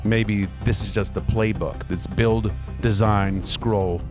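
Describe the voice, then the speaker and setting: A man's voice: fairly low pitch, fairly even intonation, slow. A man playing a podcast host who exposes conspiracies, questioning pointedly and explaining earnestly in a scripted drama. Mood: grave, earnest. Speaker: interview show host. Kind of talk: scripted interview and investigative commentary. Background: music bed.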